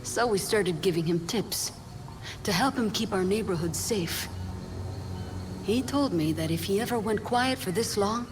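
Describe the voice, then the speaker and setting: An actress playing a tough, no-nonsense grandmother in a video game, brisk and matter-of-fact. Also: medium pitch, over music, lightly acted. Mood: confiding, resolute. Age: older. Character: tough, no-nonsense grandmother leading a neighborhood watch. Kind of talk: video game cutscene acting.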